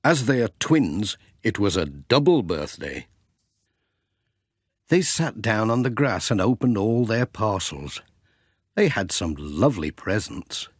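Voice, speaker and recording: Husky, gently lilting male storyteller. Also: slow, no echo, clean studio sound. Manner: gentle, sing-song, lively pitch. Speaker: audiobook narrator. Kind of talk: scripted storybook narration.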